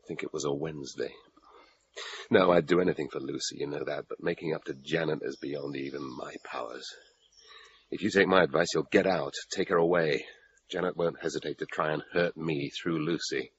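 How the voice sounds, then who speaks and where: A man's voice: very deep voice, steady tone, fairly quick. An actor playing a bitter middle-aged British father in a radio drama, with a dry, sardonic, cutting manner. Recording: dull, noisy radio play recording.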